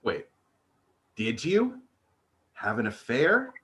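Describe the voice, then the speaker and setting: Comedy table read, actor as flustered husband and dad, middle-aged, nervous, sheepish, lively swinging pitch. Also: male voice, takes it slowly, heavily acted. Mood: startled, incredulous.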